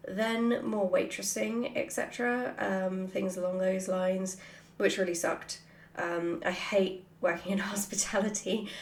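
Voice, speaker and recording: Animated woman chatting through a Q&A. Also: medium pitch. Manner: animated, giggly, self-deprecating. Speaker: writer hosting a follower Q&A. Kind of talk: casual chat to camera, answering questions.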